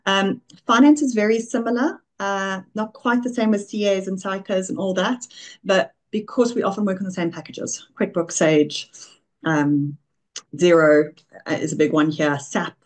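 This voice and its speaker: A middle-aged South African woman answering interview questions, briskly listing examples. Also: medium pitch, fairly even intonation. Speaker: guest, offshoring business founder. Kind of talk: conversational interview.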